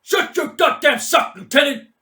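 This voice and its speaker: A man's voice: very high-pitched, animated, moderate pace, sharp tone, roomy sound, voice pushed up, full character voice. Man acting a barking, drunken bully of a Marine commander. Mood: barking, enraged. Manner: barking, domineering, profane. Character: drunk, bullying, foul-mouthed middle-aged male Marine commander.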